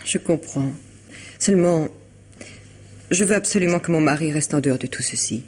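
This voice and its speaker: A woman's voice: low voice, normal speed, darkish tone, slight background noise, lightly acted. An actress playing an anxious, guarded married woman in a French radio mystery, speaking earnestly and hesitantly. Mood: worried, guarded.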